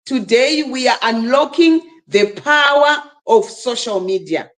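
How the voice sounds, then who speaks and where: A woman's voice: on the high side, animated, measured pace, fairly bright tone. A woman from Zimbabwe hosting a live stream panel, speaking emphatically and urging the listeners on. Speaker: livestream host. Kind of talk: casual live stream talk.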